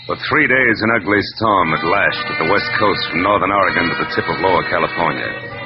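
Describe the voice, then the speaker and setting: An actor playing a hardboiled, world-weary private detective in old American radio noir style, with a wry, clipped delivery. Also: male voice, low voice, a little fast, close to an everyday voice. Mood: brooding. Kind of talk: 1940s-50s American radio noir acting, narration. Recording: dull vintage radio recording, music behind.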